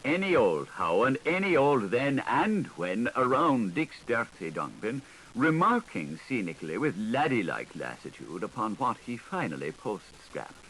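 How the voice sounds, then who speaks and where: A male narrator with a mid-pitched, very animated voice reads a literary audiobook. His delivery is theatrical and playful, rising and falling widely. The older recording carries a faint layer of noise. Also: unhurried, poor audio. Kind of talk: literary audiobook reading, dramatized.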